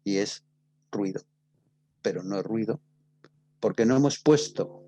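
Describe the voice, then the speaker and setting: A middle-aged man with an accent from Spain lecturing informally, explaining with examples, repeating phrases and pausing to stress key words. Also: fairly low pitch, dark tone, breathy edge, no echo. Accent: Spanish from Spain. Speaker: university lecturer in radio drama.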